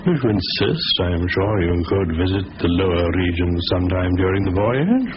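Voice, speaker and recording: An actor playing a pompous, snobbish swindler in a radio drama, speaking in a lofty, condescending way. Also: male voice, low voice, lively intonation, a little fast, noisy background. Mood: lofty, smug. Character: pompous, snobbish male swindler with expensive tastes. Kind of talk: 1970s radio drama acting. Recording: dull old radio broadcast.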